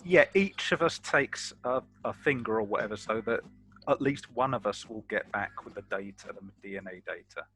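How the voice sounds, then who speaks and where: A man's voice: on the low side, moderate pace, a bit bright, slight background noise. A man talking casually as a player in a tabletop roleplaying game.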